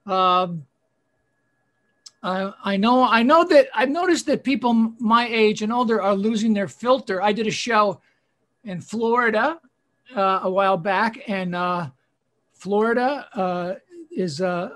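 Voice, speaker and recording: Comedian in his sixties, fairly high voice for a man, deadpan and rambling, on a webcam. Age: 60s. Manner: rambling, self-deprecating, halting with fillers. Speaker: stand-up comedian. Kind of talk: deadpan stand-up routine.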